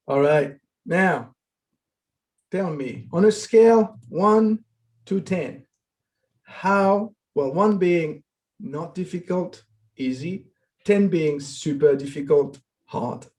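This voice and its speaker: The host of an online panel is a man with a high voice who talks slowly. He is friendly and unhurried, and he calls on each guest by name. He is heard over a video call connection. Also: a little mellow. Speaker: host and moderator.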